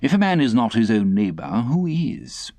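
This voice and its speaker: Man playing a pompous gentleman, swooping pitch. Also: sing-song, moderate pace, no room sound. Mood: smug. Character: smug, languid gentleman, sanctimonious about propriety.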